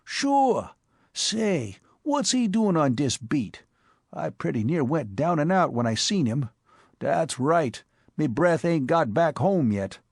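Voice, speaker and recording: A man voicing a brash, streetwise young male crook with a New York Bowery accent and slangy, excitable talk. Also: a little high, slow, no echo, clean studio sound, voice pushed up, heavily acted. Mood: excited.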